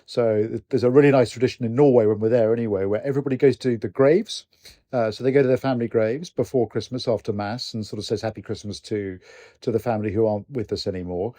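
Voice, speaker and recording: A man's voice: medium pitch, fairly even intonation, fast, mellow, no room sound. An older man whose first language is Norwegian, co-hosting a podcast in casual chat, hesitant with many restarts. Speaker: co-host, retired general.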